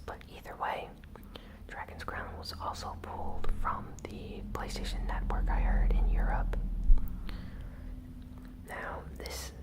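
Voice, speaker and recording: A host whispering a news recap in ASMR style, softly and with gentle pauses. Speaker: host of a gaming news channel.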